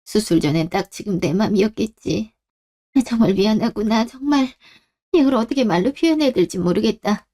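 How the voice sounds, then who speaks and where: A woman voicing a sharp-tongued older mother-in-law who later turns remorseful in a radio drama. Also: mid-range voice, brisk, close to an everyday voice. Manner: scolding, then remorseful and pleading.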